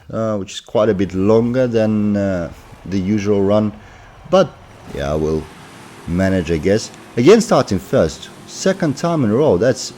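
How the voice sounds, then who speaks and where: A man's voice: on the low side, a little mellow. A man with a sore throat from a cold, giving casual commentary on his racing game as he plays, thinking aloud with many fillers. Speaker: gaming commentator.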